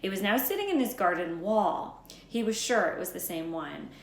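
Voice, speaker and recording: A woman reading a storybook aloud as an expressive narrator, with emphatic, dramatic phrasing. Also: mid-range voice, normal speed, creaky. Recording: echoey room, faint background noise.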